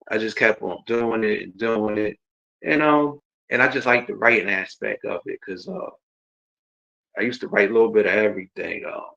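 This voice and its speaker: Low-voiced New Jersey man, rambling casually. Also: vocal fry, a bit bright. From Jersey City, New Jersey. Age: around 40. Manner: informal, rambling, repeats himself. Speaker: host. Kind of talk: casual autobiographical livestream chat. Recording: dull, lo-fi stream audio.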